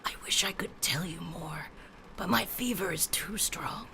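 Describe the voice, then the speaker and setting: An actress playing a weary, sick, secretive woman scholar in a radio drama, speaking in a breathy whisper. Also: fairly low pitch, unhurried, sharp tone, a little hiss or hum, exaggerated voice. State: sounds exhausted and sick.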